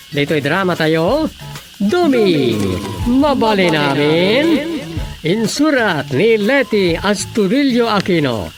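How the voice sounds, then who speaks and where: An announcer with an Ilocano Filipino accent reading scripted narration for a radio drama in a theatrical, drawn-out way. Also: mid-range voice.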